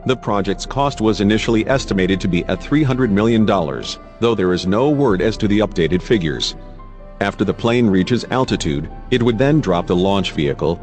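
A man's voice: lowish voice, darkish tone. A man reading scripted news narration as a narrator. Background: music.

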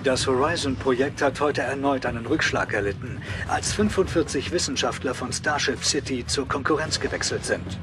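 Guy doing formal news anchor, medium pitch, music underneath. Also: normal speed, hardly any pauses, natural voice. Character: formal male news anchor reporting setbacks. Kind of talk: news reading.

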